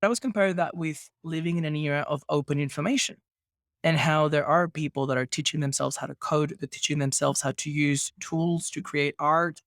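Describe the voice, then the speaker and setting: Man, podcast host, slightly high pitch, reflective, rhetorical questions, dry recording. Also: steady tone, fairly quick, a bit bright, no echo. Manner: thoughtful, builds points with rhetorical questions. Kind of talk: casual podcast conversation.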